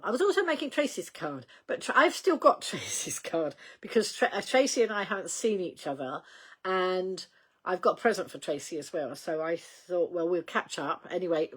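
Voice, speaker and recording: An older woman hosting a casual livestream, rambling in a chatty way with many ums and small laughs, her voice going slightly croaky. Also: lowish voice. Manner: chatty, rambling, reads out viewer comments, frequent ums and laughs. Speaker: livestream host.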